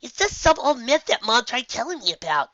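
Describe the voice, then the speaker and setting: A narrator voicing an excitable, earnest young female unicorn pupil in a fantasy story, with flustered, exclaiming delivery. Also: medium pitch, a little fast, dry recording, muffled recording, pitched above the performer's own voice. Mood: sheepish.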